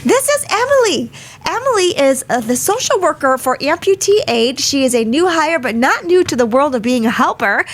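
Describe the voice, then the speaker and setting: Chatty woman host, slightly croaky. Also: sits high, fairly bright tone, a little hiss or hum. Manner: chatty, greets many attendees by name. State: hoarse from a cold. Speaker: webinar host. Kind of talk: casual live webinar hosting. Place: small studio. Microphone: studio mic.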